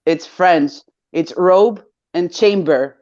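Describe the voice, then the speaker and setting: A woman's voice: fairly low pitch, slow, a little mellow. A woman in her thirties with a Greek accent, chatting playfully and teasingly as co-host. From Greece. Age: 30s. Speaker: show co-host. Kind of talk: casual chat. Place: at home on a video call. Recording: dull lo-fi call audio.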